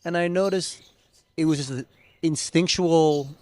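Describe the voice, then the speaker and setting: An American-born man, an acrobatic yoga teacher, explaining his ideas earnestly as a podcast guest, slowing on key words. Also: on the high side, darkish tone. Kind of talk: casual conversation.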